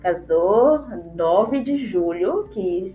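A woman in her thirties with a Haitian accent speaks as a podcast guest in a language she learned as an adult. Her voice sits at an average female pitch. She recounts her life in halting, self-corrected phrases over faint background music. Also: expressive, mellow. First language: not Portuguese, learned it as an adult in Brazil. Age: 30s. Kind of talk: personal storytelling in an interview.

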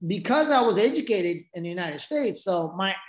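A man's voice: high-pitched, expressive, moderate pace. Interview guest: man, Japanese accent, native Japanese speaker, rambling storyteller, recorded with an echo. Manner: rambling, frequent restarts and asides. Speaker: podcast guest. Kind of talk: casual storytelling.

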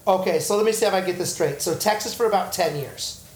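A man's voice: high-pitched, fast talker, reverberant, slight background noise, rough recording. A man co-hosting a podcast who jokes and laughs often in casual chat. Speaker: podcast co-host.

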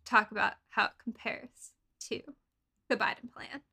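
A young woman with an American accent, co-hosting a casual podcast in informal, halting phrases sprinkled with "like". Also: medium pitch, fairly even intonation, creaky, bright, forceful tone, slightly rough. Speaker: podcast co-host. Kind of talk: casual political podcast chat.

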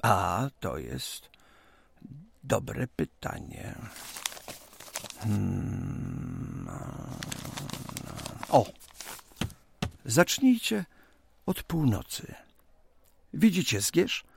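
A man plays a genial, businesslike president of the 1820s in a radio play. He instructs in a formal, expansive way, taking his time, with a slightly gravelly voice and richly varied intonation. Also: fairly low pitch, sing-song, very slow, pauses often, noisy background, exaggerated voice. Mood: thoughtful, explaining. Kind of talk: radio drama acting.

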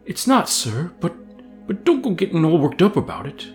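Storybook hobbit servant, played by a male narrator: small, loyal, fretful, pleading in a strained high pitch over music. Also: huge pitch swings, moderate pace, darkish tone. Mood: anxious pleading. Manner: urgent pleading.

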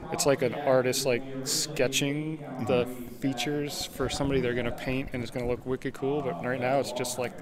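Brief: casual male interviewee, mid-range voice, halting and repetitive, very noisy crowd around. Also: mid-range voice, flat delivery. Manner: halting, repetitive phrasing with frequent "like". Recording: loud crowd chatter behind voice.

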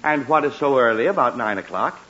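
A man's voice: medium pitch, animated, fairly quick, a bit bright, slightly rough. An actor playing a flustered, irritable middle-aged father in a 1940s radio comedy, stammering and fussy. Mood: stern. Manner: stammering, exasperated, fussy delivery. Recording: dull, hissy old radio recording.

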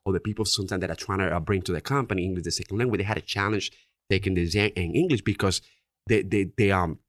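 Earnest Dominican-accented man, deep voice. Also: fast, a bit bright, dry recording, studio quality. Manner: earnest, repeats "right?" often. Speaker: insurance agency owner. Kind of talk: podcast interview.

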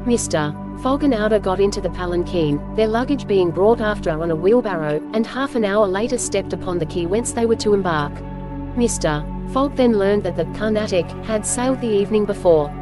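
A woman reading scripted storybook narration as host, with measured, clear phrasing. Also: mid-range voice, animated, music in the background.

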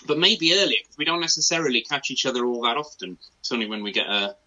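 A man's voice: medium pitch, fast talker, bright, forceful tone. A man with a Welsh accent, a native Welsh speaker, chatting as a self-deprecating guest comedian. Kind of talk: casual anecdotal chat. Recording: dull online call audio.

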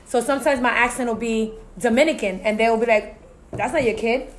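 A woman's voice: fairly high pitch, a little fast, slight background noise, poor audio. A Puerto Rican-American woman co-hosting a casual discussion, talkative, full of "you know" and "so it's like". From Puerto Rican family, US-raised. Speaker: podcast co-host.

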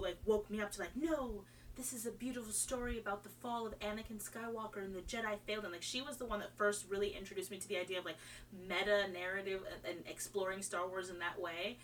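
A woman with a bright, keen voice talks casually and enthusiastically, doubling back on her sentences as she goes. She is from Trinidad and moved to America young. The recording sits a little far from the mic, with faint noise and hum. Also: medium pitch, fairly even intonation, quick pace. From Trinidad, moved to America at age five. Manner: rambling, enthusiastic, self-interrupting. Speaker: podcast guest. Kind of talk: casual chat about fandom.

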